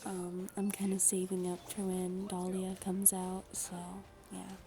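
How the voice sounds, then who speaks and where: A young woman whispering her way through soft-spoken gaming chat. She rambles, with frequent ums, and her voice is a little raspy from a dry throat. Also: on the low side, completely flat delivery, measured pace. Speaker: gaming YouTuber.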